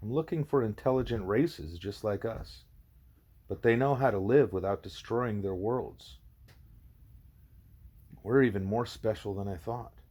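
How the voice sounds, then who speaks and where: A man's voice: fairly low pitch, measured pace, long pauses, dark tone, husky. A man voicing an earnest, inquisitive young girl who thinks aloud in short emphatic statements, in an audiobook. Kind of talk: audiobook character dialogue.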